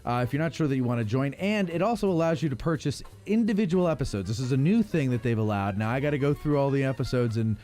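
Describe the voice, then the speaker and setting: A man hosts a podcast in a casual, ad-libbed style. His mid-pitched voice moves around animatedly as he slips into jokey mock-announcer asides. There is some music behind him. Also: expressive, quick pace, hardly any pauses, mellow. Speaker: podcast host. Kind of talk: casual ad-libbed promotional chat.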